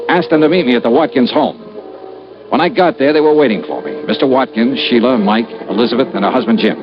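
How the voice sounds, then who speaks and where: Tough radio detective, lively pitch. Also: male voice, gravelly. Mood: tense narrating. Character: tough, blunt freelance insurance investigator. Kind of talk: old-time radio detective drama acting and narration. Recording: dull vintage radio recording.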